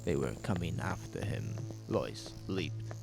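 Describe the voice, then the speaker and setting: Very deep, gravelly male horror narrator. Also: animated, takes it slowly. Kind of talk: scripted horror audio drama narration. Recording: music bed under the voice. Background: music.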